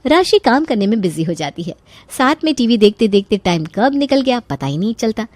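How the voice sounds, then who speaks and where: A woman narrating a scripted animated family story as its storyteller. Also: fairly high pitch, brisk, dry recording.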